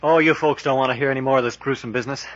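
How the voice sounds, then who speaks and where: An actor playing an offhand, teasing member of a jungle party in a 1940s radio adventure, breezy and colloquial. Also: male voice, medium pitch, steady tone, very fast, close to an everyday voice. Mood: teasing, casual. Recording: muffled vintage 1940s radio recording.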